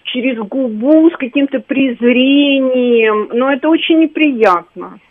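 Hesitant woman caller on a phone line. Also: sits high. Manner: hesitant, many fillers and pauses. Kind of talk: phone-in conversation.

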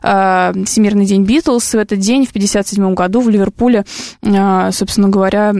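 Brisk woman hosting live radio. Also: mid-range voice, steady tone, darkish tone, no echo, studio quality. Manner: brisk, reads listener messages, hesitant fillers. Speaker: radio host. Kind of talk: live radio talk show. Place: radio studio.

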